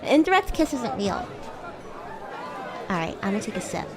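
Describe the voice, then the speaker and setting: A woman's voice: medium pitch, very animated, moderate pace, over music, crowd noise, pitched below the performer's own voice, lightly acted. A woman voicing a bratty, cute demon classmate in an improvised VTuber roleplay, teasing and coy, easily flustered into stammering. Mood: playful, casual. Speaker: VTuber streamer. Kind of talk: improvised VTuber roleplay, casual chat with viewers.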